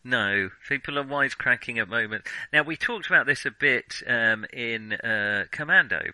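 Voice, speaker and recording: A man's voice: medium pitch, moderate pace, bright, forceful tone, no echo, poor audio. A middle-aged man in casual discussion as a film podcast co-host, reflective and given to hedging his remarks.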